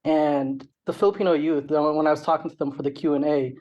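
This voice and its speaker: A man's voice: fairly high pitch, steady tone, quick pace, a little mellow, highs cut off. A middle-aged man with a Canadian accent talking casually as an interview guest, rambling with many "like" and "you know" asides. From Toronto, Canada. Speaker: interview guest, rapper and songwriter.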